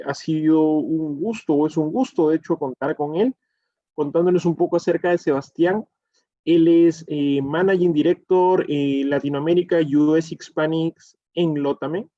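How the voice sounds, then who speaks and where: A man's voice: fairly high pitch, measured pace, dark tone, no room sound, lo-fi sound. A man moderating a webinar, reading a speaker introduction with frequent fillers. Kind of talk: formal introduction.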